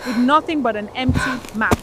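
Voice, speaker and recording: A woman narrating a scripted folktale as a storyteller, in a solemn, deliberate, dramatic manner. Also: fairly high pitch, heavy background noise.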